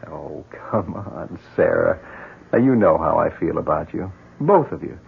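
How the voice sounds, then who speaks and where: An actor in a 1970s radio drama playing a considerate, concerned family friend, in muffled broadcast sound. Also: male voice, extremely low pitch, animated, unhurried, gravelly, breathy edge, full character voice. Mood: friendly, measured.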